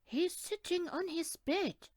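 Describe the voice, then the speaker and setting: A woman's voice: very high voice, slow pace, no room sound, clean studio sound, pitched above the performer's own voice. A woman voicing an eager, wide-eyed little boy in a children's storybook. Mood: wondering. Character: eager little boy enthralled by magic glasses.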